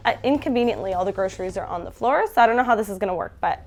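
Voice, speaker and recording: A woman's voice: medium pitch, expressive, racing, background noise. A young Canadian woman with a stuffy, nasal voice from a head cold, chatting casually in her bedroom about groceries. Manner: chatty, upbeat, many fillers. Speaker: food vlogger. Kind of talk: casual haul video chat. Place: bedroom at home.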